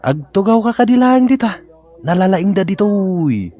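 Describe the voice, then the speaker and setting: A man's voice: high-pitched, very animated, moderate pace, warm tone. A man playing a coaxing, pushy friend in an Ilocano comedy radio drama. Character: coaxing, pushy male buddy.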